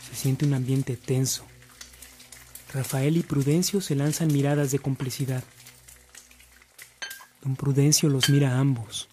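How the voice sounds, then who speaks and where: A man's voice: medium pitch, level tone, measured pace, long pauses, dark tone, a little hiss or hum, close to an everyday voice. A man acting as the storytelling narrator of a scripted radio drama. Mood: solemn storytelling. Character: storytelling narrator of a saint's life.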